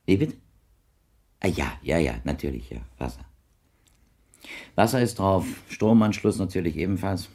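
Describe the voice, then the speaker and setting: An actor playing a courteous, wistful blind widower in a German radio play, speaking in florid, halting, ceremonious phrases. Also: male voice, fairly low pitch, darkish tone. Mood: nostalgic. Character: blind widowed older man, courteous, wistful, insinuating visitor.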